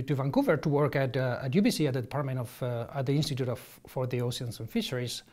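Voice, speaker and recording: A man, answering interview questions as a marine ecologist, halting and restarting often. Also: medium pitch, a little mellow. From Spain. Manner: halting, many restarts and ums, gestures while explaining. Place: office with shelves, indoors. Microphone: lapel.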